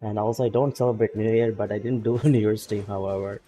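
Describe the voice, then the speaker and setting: A man's voice: on the low side, fairly even intonation, quick pace, dark tone, a little hiss or hum. A man streaming a video game, chatting casually to his viewers and laughing often as he plays. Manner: laid-back, chatty, laughs often, greets chat by name. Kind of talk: casual gameplay stream chat.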